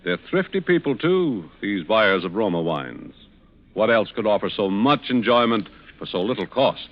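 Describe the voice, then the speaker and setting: A male spokesman delivers a scripted 1940s radio pitch. He is conversational and persuasive, with animated intonation. The recording sounds dull and dated. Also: moderate pace. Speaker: commercial spokesman.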